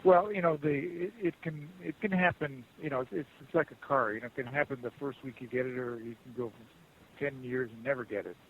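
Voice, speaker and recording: Muffled, halting man on a support call. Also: mid-range voice. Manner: halting, rambling, conciliatory. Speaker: customer service call agent. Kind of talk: unscripted phone support conversation. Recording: muffled phone call with hiss.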